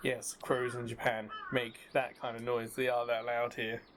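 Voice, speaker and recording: A man's voice: medium pitch, little pitch movement, moderate pace, noisy background. A man giving casual commentary as the host of a video game playthrough. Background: game music.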